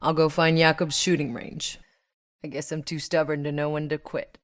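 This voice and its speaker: Woman acting low-voiced, hard-bitten female soldier. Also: little pitch movement, moderate pace, no room sound, clean studio sound, close to an everyday voice. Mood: dry, teasing. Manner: blunt, wry, swearing. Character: tough, sardonic, battle-hardened female soldier, game protagonist. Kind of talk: video game character voice lines.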